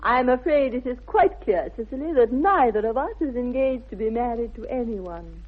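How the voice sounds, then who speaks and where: A woman's voice: fairly high pitch, animated, normal speed. An actress playing a haughty young upper-class English society lady in a 1960s radio comedy, grand, precise and condescending. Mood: formal, pointed. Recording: thin, phone-like old radio recording.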